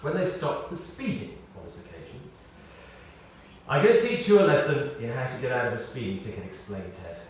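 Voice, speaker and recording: A British man reads a scripted memorial tribute as a eulogist, telling anecdotes in a measured way with pauses and emphasis. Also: medium pitch, wildly swooping pitch. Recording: very echoey, distant mic, faint noise.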